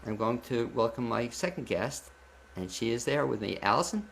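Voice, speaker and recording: A man hosting a radio interview show, speaking conversationally and a little haltingly between questions and announcements. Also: mid-range voice, animated, vocal fry, a little hiss or hum, rough recording.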